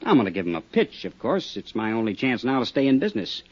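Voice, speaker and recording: A man's voice: medium pitch, big pitch swings, fast talker. A man playing a tough, cagey small-town roadhouse owner with an American accent in a 1950s radio drama, talking in defensive, streetwise slang. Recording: dull 1950s radio recording.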